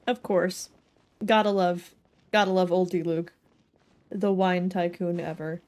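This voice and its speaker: A woman's voice: lowish voice, level tone, measured pace, darkish tone. A woman livestreaming a video game, chatting casually to her viewers with playful, jokey asides. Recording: game music under the voice. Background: video game music.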